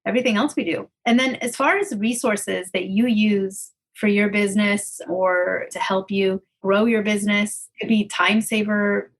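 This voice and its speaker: A woman's voice: medium pitch, steady tone, moderate pace, hardly any pauses. A woman hosting an interview in a chatty, conversational way, affirming what her guest says. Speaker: podcast host interviewing a guest.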